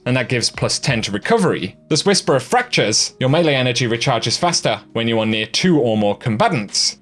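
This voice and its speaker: A man's voice: mid-range voice, a bit bright. A man hosting a gaming news video, delivering scripted narration. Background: background music.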